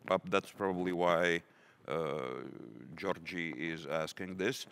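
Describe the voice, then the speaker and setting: Very low voiced guy hosting a stage q&a, lots of uhs, slight hiss behind. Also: fairly bright tone. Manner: hesitant, halting, full of fillers. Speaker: moderator. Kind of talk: moderating a conference Q&A.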